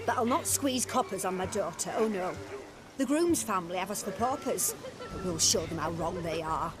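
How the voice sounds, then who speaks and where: A woman's voice: on the low side, expressive, normal speed, close to an everyday voice. An actress voicing a gushing, theatrical middle-aged mother of the bride in a game cutscene.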